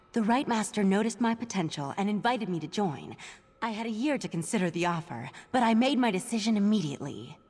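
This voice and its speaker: An eager chatty young acolyte mage in a fantasy game, slightly husky, big reverby hall. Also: female voice, mid-range voice, darkish tone. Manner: conversational, explanatory, polite. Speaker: acolyte and cataloguer in a magical order. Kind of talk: scripted fantasy game dialogue.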